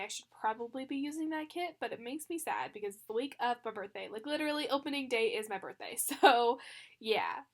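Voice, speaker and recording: A young woman chatting casually as a planner vlogger. She sounds congested from the flu, with a slight cough, and talks with lots of fillers and little laughs. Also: a little high. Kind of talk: casual chat.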